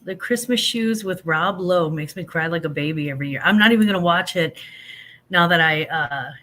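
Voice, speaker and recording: An older woman chats on a livestream, rambling from one thought to the next and poking fun at herself as she answers viewers. Her voice is bright and forceful, and she sounds a little stuffy from allergies. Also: fairly low pitch, a little fast, a little hiss or hum. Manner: chatty, rambling, self-deprecating, reads and answers chat. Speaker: livestream host. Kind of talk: casual livestream chat.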